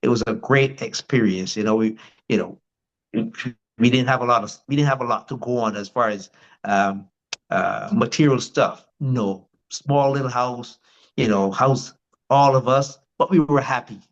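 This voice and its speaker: A man's voice: medium pitch, normal speed, muffled recording. An older man from Barbados with a Barbadian accent, telling his life story as a guest in a casual interview, rambling with many restarts.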